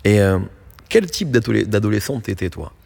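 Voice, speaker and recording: Male radio host, low gravelly husky voice, loose conversational questioning. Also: big pitch swings, fairly quick, a little mellow. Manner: conversational, rambling questions with fillers. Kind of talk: radio interview.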